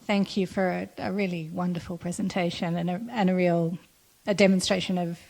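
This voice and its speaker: An Australian woman from the audience asking a long question, thoughtful and hesitant with many pauses. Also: on the low side, flat delivery, creaky, darkish tone, slight background noise.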